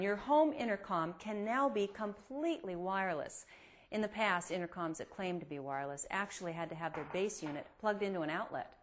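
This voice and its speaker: A woman reporter narrating a scripted promotional product report. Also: medium pitch.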